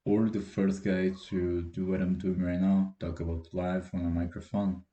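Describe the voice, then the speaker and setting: An eighteen-year-old Brazilian man with a Brazilian Portuguese accent and a very dark, mellow voice that sits a little low. He speaks English as a second language, halting and self-deprecating, with frequent restarts. His voice echoes around a bare room. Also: level tone. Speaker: podcast host. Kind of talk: casual solo podcast monologue. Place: room at home, dogs barking outside.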